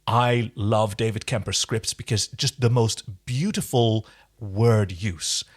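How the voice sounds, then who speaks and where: A man's voice: lowish voice, takes it slowly. A man co-hosting a podcast in casual, unscripted chat, with jokey, wry asides. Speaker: podcast co-host.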